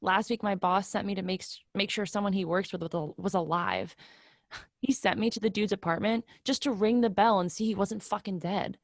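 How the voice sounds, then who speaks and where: Woman playing weary blunt young woman in a play reading, croaky voice, no room echo. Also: mid-range voice, fairly quick, clean studio sound, natural voice. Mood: bitter, defeated. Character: jaded, despairing young woman, podcaster friend. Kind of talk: play reading over video call.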